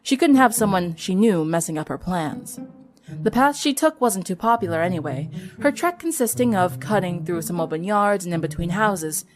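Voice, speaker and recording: A woman reading a story aloud as scripted dramatic narration. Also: mid-range voice. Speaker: fan fiction narrator. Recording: music under the voice. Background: music.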